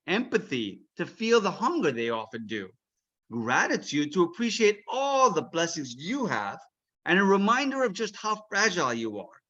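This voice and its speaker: A man reading a children's storybook aloud as its author, expressive and checking in with his listeners. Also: high voice, highs cut off.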